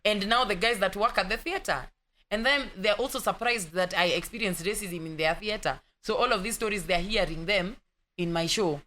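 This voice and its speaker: A young Kenyan woman talks through her stories in an animated way, slipping Swahili words into her English and acting out the people in them. Her voice is bright and clear. A faint hiss sits under the recording. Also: mid-range voice, normal speed. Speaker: actress guest. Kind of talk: casual storytelling interview.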